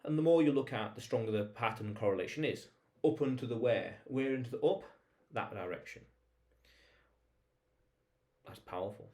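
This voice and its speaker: A man's voice: medium pitch, lively intonation, normal speed, a little mellow. A man hosting a vlog, talking informally to viewers in an explainer monologue, emphatic, repeating phrases and posing rhetorical questions. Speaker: vlog host.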